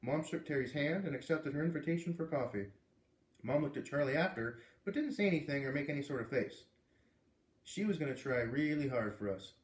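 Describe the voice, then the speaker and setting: A man's voice: a little high, expressive, a little fast. Man reading aloud in an echoey room. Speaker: read-aloud narrator. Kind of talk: expressive children's book narration.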